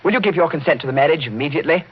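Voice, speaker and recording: An actor playing a brash, sceptical young lord in a radio horror drama, brisk, polite and incredulous. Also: male voice, fairly high pitch, lively intonation, husky. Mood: incredulous, defiant. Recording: dull, noisy old radio recording.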